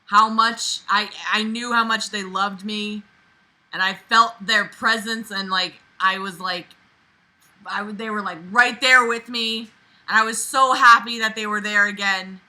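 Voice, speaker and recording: A woman reading aloud and commenting with exasperated, animated, emphatic reactions that swing dramatically in intensity. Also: mid-range voice, low-quality recording.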